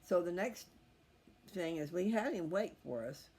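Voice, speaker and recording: A man's voice: sits high, animated, measured pace, darkish tone, husky. A man chatting casually to his viewers in a folksy, meandering way, thinking aloud as he goes. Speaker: host of a scripture reading channel. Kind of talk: rambling casual chat to viewers. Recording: faint background noise.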